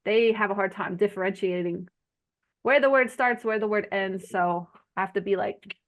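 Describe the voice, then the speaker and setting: A woman's voice: medium pitch, moderate pace. A woman with a blended Arkansas Southern and New Jersey accent, chatting casually and telling stories in folksy language as host of a group video call. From Arkansas, United States. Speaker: host of an online group chat.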